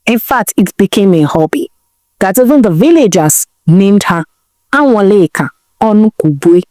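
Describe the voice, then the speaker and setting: A woman telling a village folktale in a dramatic, expressive way, now and then asking the listener questions. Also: mid-range voice, normal speed, no room sound, clean studio sound. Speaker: storyteller. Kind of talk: folktale storytelling narration.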